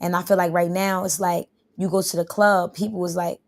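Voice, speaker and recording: A woman rapper answering interview questions. Her voice is low, gravelly and fairly flat. She rambles loosely, full of fillers. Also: a little fast. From New York. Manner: rambling, relaxed, many fillers.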